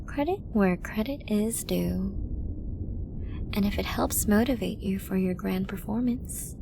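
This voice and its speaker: A woman playing a flirty, dominant villain in an ASMR roleplay, teasing and possessive, often slipping into an intimate whisper. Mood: playful, coaxing. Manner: slow, teasing, breathy lapses into whispering. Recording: noisy with low rumble.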